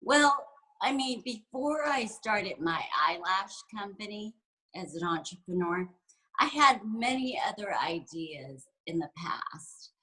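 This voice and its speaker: Woman, 40s, from San Francisco; bright, forward voice; candid, reflective interview guest, loose and unscripted. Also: medium pitch, lively intonation, takes it slowly, poor audio. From San Francisco, USA. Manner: candid and reflective, with frequent fillers. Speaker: guest executive and entrepreneur. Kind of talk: conversational interview.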